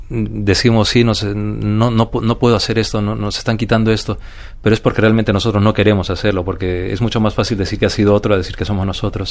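A man with a Peruvian accent, a guest speaking calmly and reflectively in an interview, often restarting and asking "you know?". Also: fairly low pitch. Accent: Peruvian Spanish. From Peru. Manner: calm, thoughtful, many restarts and "¿sabes?". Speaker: guest, paranormal investigator.

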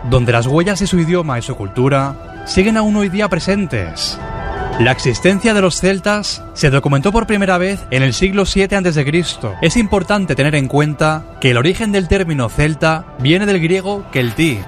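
A man with a somewhat high voice reads a history narration at an even, measured pace. Faint music runs under his voice. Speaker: documentary narrator. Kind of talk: scripted narration.

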